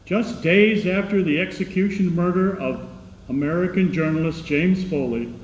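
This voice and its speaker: A man's voice: high-pitched, normal speed, close to an everyday voice. A man playing a radio announcer and field reporter in old radio drama style. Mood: formal. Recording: reverberant hall, faint noise.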